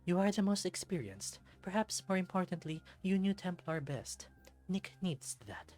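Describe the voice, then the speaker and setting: A man voicing a precise, commanding leader of a superhero team in a visual novel, speaking in a formal, measured, authoritative way. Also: high-pitched, big pitch swings, darkish tone, pitched above the performer's own voice. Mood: measured, insistent.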